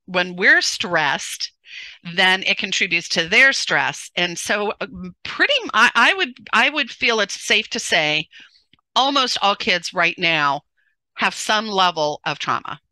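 A middle-aged woman parenting coach talking loudly and animatedly as a guest on a video call, often restarting her sentences. She speaks into a headset from a room at home. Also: medium pitch, measured pace, dry recording, low-quality recording. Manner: loud, animated, many restarts. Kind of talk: casual interview conversation.

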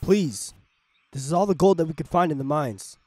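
Man playing submissive chief, pleading, slightly high voice, noisy backdrop, sci-fi audio drama. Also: moderate pace, lightly acted. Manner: pleading, meek. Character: pleading, submissive village chief of mining people.

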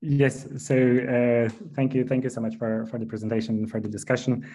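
A man's voice: medium pitch, level tone, normal speed, warm tone. Polite young man asking a seminar question. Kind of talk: academic question over video call. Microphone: laptop webcam video call.

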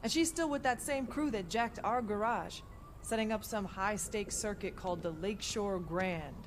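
An actress playing an eager, determined young street racer with an earnest, excitable delivery in a video game. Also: female voice, medium pitch, moderate pace, slight background noise, lightly acted. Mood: earnest, urgent. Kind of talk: video game voice acting, natural dialogue.

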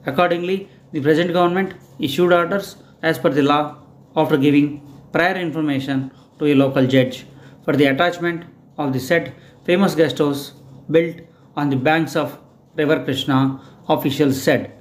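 Man reading slow shorthand dictation. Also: on the high side, fairly even intonation. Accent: Indian English. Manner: measured phrase-by-phrase dictation. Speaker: shorthand instructor.

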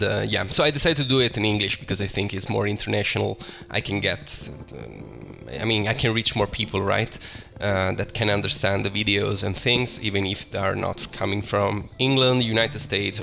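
Gravelly Italian-accented gaming host. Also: male voice, fairly low pitch, normal speed, hardly any pauses, sharp tone. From Italy. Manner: chatty, self-correcting, enthusiastic about the game. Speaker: Minecraft let's play host. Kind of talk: casual gameplay commentary. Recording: slightly dull lo-fi audio with music behind.

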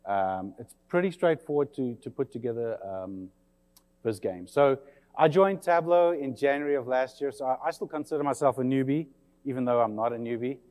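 A man's voice: mid-range voice, moderate pace, a little mellow, in a large hall. A man in his forties with a South African accent, giving a friendly, chatty conference talk with many fillers. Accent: South African, slightly English-tinged. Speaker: conference presenter. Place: conference session room.

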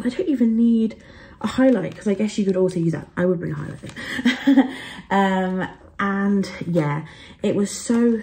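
A young woman talks through her shopping in a relaxed, chatty vlog. Her voice is mellow and soft-edged, sitting at an ordinary middle pitch, and she fills her sentences with easy fillers. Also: slight background noise. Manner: chatty, upbeat, lots of fillers. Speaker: travel and beauty vlogger. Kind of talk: casual haul chat to camera.

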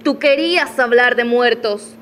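A woman's voice: a little high, measured pace, a bit bright, slight background noise. A woman playing a defiant, scheming widow in a radio soap opera, taunting and dramatic. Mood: bitter, accusing.

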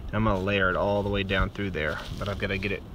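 A man's voice: low voice, flat delivery, fast talker, background noise. A man in his forties with a Texan accent, casually explaining survival skills as he works outdoors on a beach. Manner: explains his steps plainly while handling things. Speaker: survival vlogger. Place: open sandy beach and dunes outdoors.

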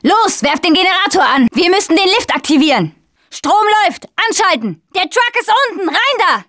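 A high, dry-recorded young female voice for a zombie video game. She is a tough survivor with a blunt, sarcastic edge. Her delivery is urgent, made for short combat barks and quips. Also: very animated, moderate pace, a bit bright, no room sound, exaggerated voice. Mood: focused, brisk. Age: young adult. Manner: blunt, foul-mouthed, urgent.

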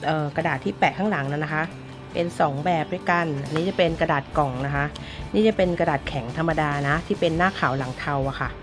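Low-voiced woman over music. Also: fast. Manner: informal big-sister address to viewers. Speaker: craft tutorial host. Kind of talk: casual step-by-step tutorial narration. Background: background music.

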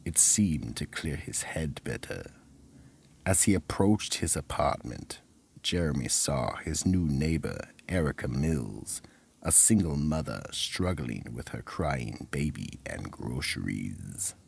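A man narrating a scripted mystery horror anthology as host, ominous, deliberate and dramatic. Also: rumbling low, expressive, gravelly, slightly rough.

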